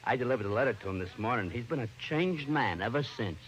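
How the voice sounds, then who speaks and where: An actor playing a dim-witted, blustering police sergeant, in 1940s radio comedy-detective style. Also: male voice, medium pitch, lively intonation, a bit bright, close to an everyday voice. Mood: gruff, blustering. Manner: slow-witted, blustering, ungrammatical. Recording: noisy old-time radio recording.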